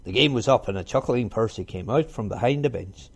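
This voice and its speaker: A man reading a children's storybook aloud in an expressive narrating style. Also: medium pitch, a little fast, slightly rough. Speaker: storybook reader.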